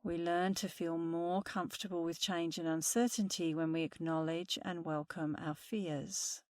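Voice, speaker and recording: A woman meditation instructor speaking softly and soothingly through a guided meditation. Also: on the low side, fairly even intonation, no echo, clean studio sound. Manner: slow, soothing, soft-spoken guidance.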